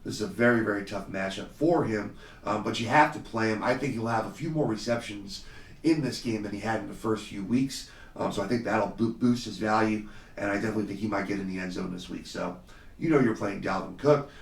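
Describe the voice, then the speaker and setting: A man hosting a solo podcast in a casual, confident way, slightly hoarse from a cold, with frequent fillers. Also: lowish voice, fairly quick, echoey room, far from the mic. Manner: confident, conversational, many fillers. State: slightly hoarse, under the weather. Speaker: podcast host. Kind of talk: solo fantasy football podcast talk.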